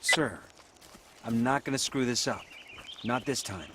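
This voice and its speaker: An actor playing an earnest, determined former cop in a video game, with a clipped, dutiful way of talking. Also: male voice, medium pitch, measured pace, very noisy, lightly acted. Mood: earnest insistence. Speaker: video game protagonist, former police officer. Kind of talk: dramatic video game voice acting. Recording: loud outdoor game ambience behind voice.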